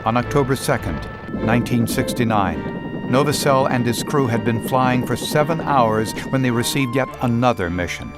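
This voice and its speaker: A man's voice: mid-range voice, over loud music. Male narrator in a documentary style. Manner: measured, formal delivery. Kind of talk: scripted narration.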